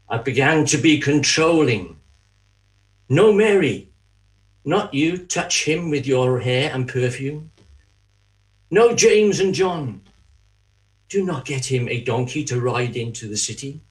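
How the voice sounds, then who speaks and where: A man playing a remorseful betrayer in a dramatic church monologue, halting, anguished and confessional as he pleads. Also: mid-range voice, poor audio. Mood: indignant, controlling. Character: Judas, remorseful betrayer haunted by guilt, pleading.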